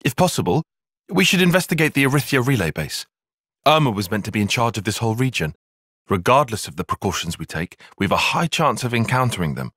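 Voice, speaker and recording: Precise young soldier strategist, lively pitch. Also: male voice, breathy edge, dry recording, studio quality. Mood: measured, analytical. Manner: formal, measured, precise, dryly sardonic. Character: young male soldier strategist, precise, bookish, wry, easily flustered. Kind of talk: video game cutscene voice acting.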